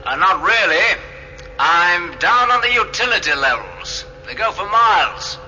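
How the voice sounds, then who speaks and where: A man's voice: high-pitched, very animated, a little slow, bright, forceful tone, muffled recording, a little hiss or hum, exaggerated voice. An actor playing an observant, matter-of-fact starship crewman reasoning things out in a radio drama.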